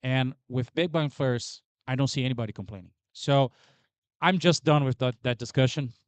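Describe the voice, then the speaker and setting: Loud man speaking on a panel. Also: mid-range voice, moderate pace, no room sound. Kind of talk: panel discussion.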